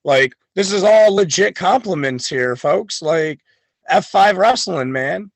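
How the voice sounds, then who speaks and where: A man's voice: high voice, animated, slow pace, dry recording. A man talking as a wrestling guest on a podcast, boastful and hyped, full of swagger. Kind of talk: podcast chat.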